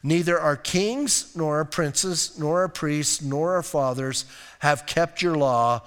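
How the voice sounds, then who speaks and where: Higher-voiced male pastor in a reverberant hall. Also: a bit bright, clean tone. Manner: conversational, emphatic, with rhetorical questions; reads scripture slowly and deliberately. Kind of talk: sermon with scripture reading.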